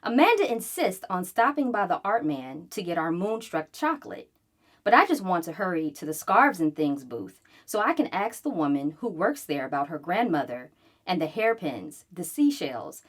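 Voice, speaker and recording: A woman reading a children's book aloud in an expressive, animated way, acting out the story as she goes. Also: on the low side, moderate pace.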